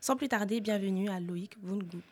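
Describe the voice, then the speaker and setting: A woman speaks as a podcast interviewer. Her voice sits at an average pitch for a woman, and she presents and questions in a conversational way. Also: normal speed, darkish tone.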